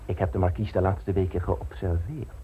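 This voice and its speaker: An actor playing a pompous, broke, out-of-work stage actor in a radio drama, speaking in a theatrical, grandiloquent manner. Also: male voice, low-pitched, fairly even intonation, moderate pace, breathy edge, phone-quality sound.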